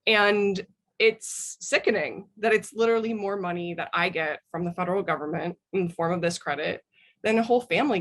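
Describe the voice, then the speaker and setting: An American woman talking as a podcast guest, making her points in an informal, emphatic way. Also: lowish voice. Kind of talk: casual opinionated discussion.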